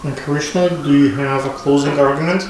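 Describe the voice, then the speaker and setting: A man moderating an unscripted panel discussion, halting and searching for words as he speaks. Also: on the high side, steady tone. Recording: very echoey room, distant mic, clear noise.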